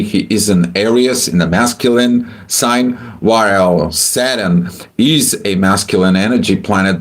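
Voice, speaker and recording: A man from Bulgaria, hosting a livestream astrology forecast in a halting way with many filler sounds. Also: lowish voice.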